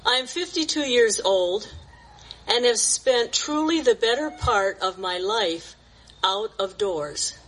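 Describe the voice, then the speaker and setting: Woman, 70s, slow and gentle, reading verse at an outdoor church service. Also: medium pitch, slightly rough, low-quality recording. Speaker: church member sharing reflection. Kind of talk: reflective sharing and poem reading.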